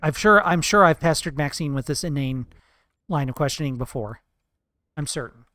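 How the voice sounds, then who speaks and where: Chatty, profane streamer man with a higher voice. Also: animated, normal speed, pushed and bright, no room sound. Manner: chatty, profane, playful teasing of chat. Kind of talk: casual live-stream chat while gaming.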